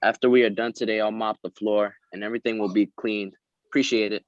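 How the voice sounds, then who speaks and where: A man's voice: lowish voice, steady tone, quick pace, no echo. A man at a table read over a video call, reading script lines and coaching others between them. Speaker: script reader and prompter. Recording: dry, slightly dull call audio.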